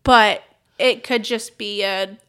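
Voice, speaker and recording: A young woman co-hosting a podcast, trading playful, quick-witted teasing in casual chat. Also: mid-range voice, fairly even intonation, a bit bright, clear, resonant tone. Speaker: podcast co-host.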